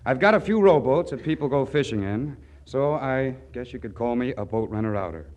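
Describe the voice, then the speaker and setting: A man's voice: medium pitch, lively intonation, fairly quick, a little mellow, lightly acted. An actor in a 1940s radio comedy playing a simple, easygoing small-town man with a guileless, folksy manner. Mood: earnest, naive.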